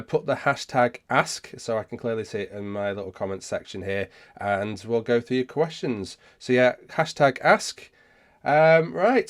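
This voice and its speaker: A man hosting a casual live question-and-answer session, speaking haltingly and often rephrasing himself as he goes. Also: medium pitch. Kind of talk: casual livestream chat, answering viewer questions.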